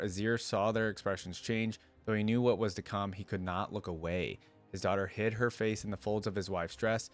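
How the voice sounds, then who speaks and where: Low-voiced man reading and reacting. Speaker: fan reaction video creator. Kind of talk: reading a fantasy story aloud with casual reaction asides.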